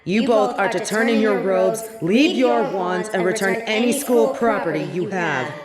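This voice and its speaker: A woman voicing a stern, calculating headmistress of a wizard school in an audio drama, speaking in a formal, measured, imperious way. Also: medium pitch, over a noisy crowd, natural voice. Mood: cold, commanding. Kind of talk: scripted audio drama acting.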